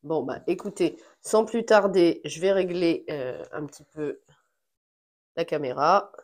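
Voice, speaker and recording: A woman with a slightly stuffy voice talks through a board game unboxing. She speaks slowly and sits a little low in pitch. She pauses often and fills the gaps with small fillers. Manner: slow, relaxed, thinking aloud with fillers. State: has a cold. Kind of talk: casual unboxing chat.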